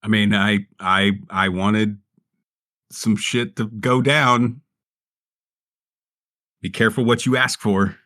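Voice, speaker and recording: A man's voice: fairly low pitch, steady tone, slow pace, long pauses, bright, forceful tone, no room sound, studio quality. A man playing a tabletop role-playing game, chatting casually in slangy, sweary language.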